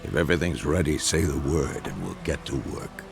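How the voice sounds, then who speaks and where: An actor playing a grizzled older monster hunter, a wry mentor with a gravelly voice who teases dryly. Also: male voice, a bass voice, fairly quick. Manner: dry, teasing, matter-of-fact. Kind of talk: video game voice acting. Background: game music.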